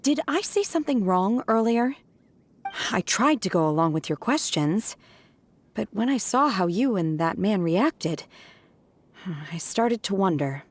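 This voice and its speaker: A woman voicing a gentle, naive, devoted young disciple in a video game, soft-spoken and earnest. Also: mid-range voice, very animated, measured pace. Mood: uncertain, earnest.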